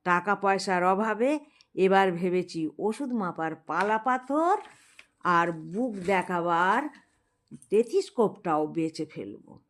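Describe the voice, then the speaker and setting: A woman's voice: mid-range voice, lively intonation, slow. A woman voicing struggling, broke male town doctors who talk plaintively and colloquially with colleagues in a storybook tale. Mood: aggrieved.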